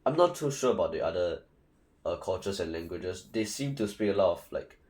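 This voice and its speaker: A man's voice: deep voice, expressive, a little fast, darkish tone. A young man from Singapore with a Singaporean English accent, giving casual, rambling study advice full of fillers and restarts. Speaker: student tutor.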